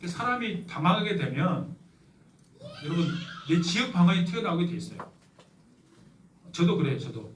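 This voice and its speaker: A middle-aged male pastor from Yeosu with a Jeolla accent, preaching a sermon in a warm, conversational storytelling way with touches of humor. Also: sits high, sing-song, moderate pace, long pauses, far from the mic, a little hiss or hum. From Yeosu, South Korea. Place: church sanctuary. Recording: echoey church hall from afar.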